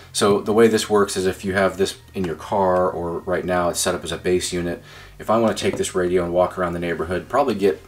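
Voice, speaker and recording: Deep voiced guy doing a laid-back hobby radio walkthrough, kinda thinking out loud as he explains. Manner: informal, explanatory, thinking aloud. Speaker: ham radio hobbyist demonstrating equipment. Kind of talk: casual hands-on demonstration. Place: indoors at a desk.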